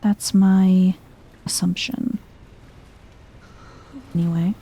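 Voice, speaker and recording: A soft-spoken woman giving hushed ASMR-style game commentary, musing to herself in an unhurried, breathy way and often dropping into a whisper. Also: on the low side, flat delivery. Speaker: video game streamer, let's player.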